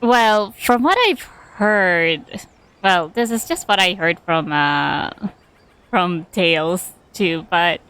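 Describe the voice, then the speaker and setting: A woman with a slightly low voice doing casual game commentary. She speaks very slowly and hesitantly, thinking aloud as she plays. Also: a bit bright, clean tone. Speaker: game streamer.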